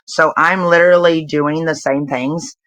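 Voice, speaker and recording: A woman's voice: sits low, fairly even intonation, measured pace, fairly bright tone, no echo. A woman around forty, a nonprofit founder and interview guest, talking in a rambling way full of fillers. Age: 40s. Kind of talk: casual interview chat.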